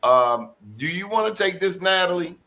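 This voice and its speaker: Emphatic bright-voiced man in his sixties. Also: high voice, animated. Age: 60s. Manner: emphatic, quick to interject. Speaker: host. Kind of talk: casual group discussion.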